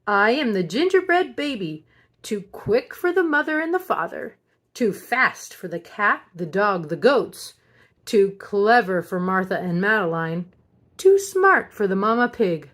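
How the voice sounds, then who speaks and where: A woman's voice: mid-range voice, very animated, a little slow, a bit bright. A woman voicing a cocky, boastful little gingerbread baby boy from a children's storybook, in a taunting sing-song.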